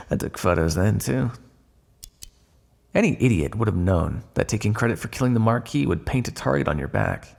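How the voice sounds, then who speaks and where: A man's voice: lowish voice, very animated, normal speed, vocal fry, long pauses, a little mellow, exaggerated voice. A man playing a smug, teasing vampire in an ASMR roleplay, sardonic and predatory with a hidden fondness, drawling on key words. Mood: smug, taunting. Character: smug, teasing male vampire, predatory yet secretly fond. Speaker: roleplay voice actor.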